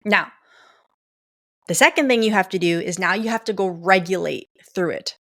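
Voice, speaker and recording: A woman's voice: on the low side, moderate pace, pushed and bright. A young adult woman coaching listeners through a skill step by step, often checking in with "okay?". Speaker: coach and podcast host. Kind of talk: casual coaching talk.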